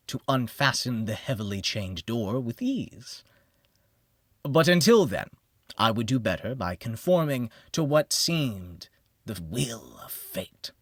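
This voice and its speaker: A man narrating a gothic horror audiobook in the first person, with a theatrical, measured delivery that drops to a hush and swells for emphasis. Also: mid-range voice, no echo, clean studio sound.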